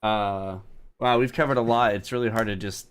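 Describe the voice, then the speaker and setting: A man hosting a livestream with casual commentary, speaking in an informal, halting, self-deprecating way. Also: on the low side, fairly even intonation, clear, resonant tone. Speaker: livestream host.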